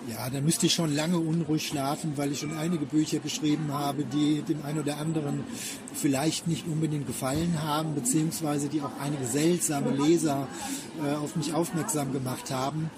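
Male author being interviewed, wordy and formal, slightly high voice, clear city noise behind him. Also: fairly even intonation, normal speed. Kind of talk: explanatory interview talk.

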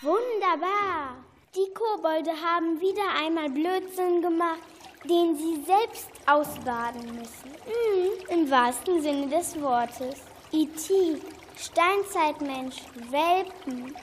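A commanding magical sorceress, played by a child, speaks slowly and very high. Her radio-play voice rings in a long reverb with faint noise and water behind it. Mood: commanding, wry.

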